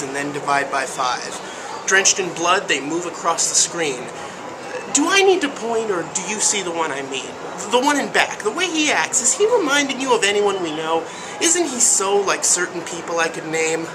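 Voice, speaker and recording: A woman's voice: lowish voice, sing-song, moderate pace. A woman giving an emphatic, theatrical dramatic reading of song lyrics, like a storyteller. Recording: loud music and background crowd noise. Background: loud music and busy crowd sound.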